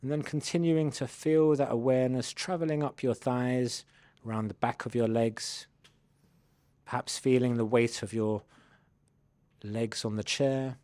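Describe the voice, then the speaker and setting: A man leading a guided body scan meditation, giving gentle, unhurried invitations as a mindfulness instructor. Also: mid-range voice, steady tone, dry recording.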